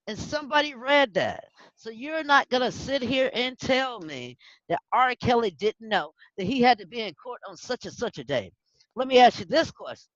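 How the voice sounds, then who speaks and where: A man with a high, very lively voice presses his points with rhetorical questions on a casual podcast. His mic sounds dull and lo-fi, and the recording is dry with no room. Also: no room sound. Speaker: podcast co-host. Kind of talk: casual opinionated chat.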